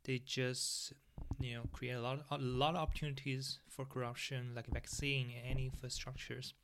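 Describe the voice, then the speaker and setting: Gravelly-voiced man hosting a solo podcast. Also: medium pitch, flat delivery, normal speed, noisy background. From Taiwan. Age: 40s. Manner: earnest, plain, with frequent restarts in non-native English. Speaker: podcast host.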